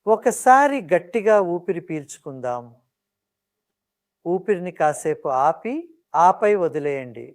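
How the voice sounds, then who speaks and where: A meditation guide speaks calmly and soothingly at a mid-range pitch. The instructions come in measured phrases with pauses between them. The sound is close and dry, with very little room echo.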